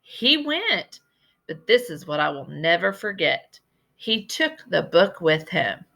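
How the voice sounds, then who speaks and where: A woman voicing a genteel old lady librarian from a storybook, telling tales in a deliberate, dramatic way with emphatic repetition. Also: lowish voice, very animated, creaky, pushed and bright, exaggerated voice. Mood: playful, storytelling. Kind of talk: storybook character voice in a read-aloud.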